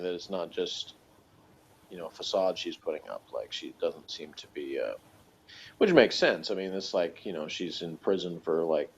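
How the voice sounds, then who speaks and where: Male co-host, deep voice, loose rambling analysis, halting and chuckly, dull lo-fi sound. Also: darkish tone, slight background noise. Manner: halting, thinks aloud, chuckles as talking. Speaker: podcast co-host reviewing a TV series. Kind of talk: casual chat, rambling analysis. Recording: dull, lo-fi audio.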